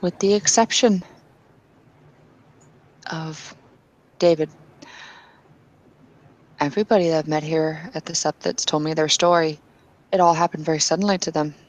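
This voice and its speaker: A woman playing Bettina, an earnest and devout kinfolk woman, arguing insistently with halting restarts in a tabletop roleplay. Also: low-pitched, steady tone, close to an everyday voice, low-quality recording. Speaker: player character.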